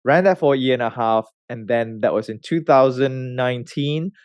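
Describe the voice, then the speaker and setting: A man in his thirties from Malaysia, a research scientist telling his career story in a relaxed way, with many fillers and restarts. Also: mid-range voice, moderate pace, clear, resonant tone, no room sound, highs cut off. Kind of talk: conversational interview answers.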